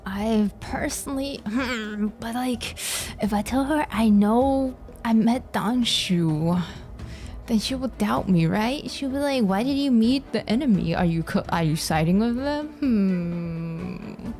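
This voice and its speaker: A woman's voice: fairly high pitch, animated, slow, a little mellow. A woman gives casual live commentary on a game. She takes her time, hesitating and thinking aloud in a giggly way. Game music plays faintly behind her. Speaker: game streamer. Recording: game music under stream voice.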